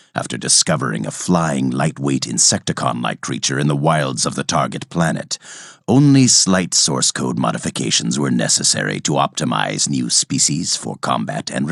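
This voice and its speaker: Calculating robot scientist villain, extremely low pitch, gravelly, cold, clinical, formal, menacing, video game character. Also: male voice, no echo, studio quality, exaggerated voice.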